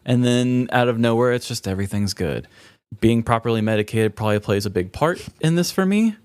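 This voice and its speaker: An American man co-hosts a comedy podcast in a casual, chatty way. His voice sits at an average pitch. The sound is dry and close. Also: lively intonation, brisk, a little mellow, clear, resonant tone, dry recording. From Missouri, United States. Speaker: podcast co-host. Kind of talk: casual comedic chat and storytelling.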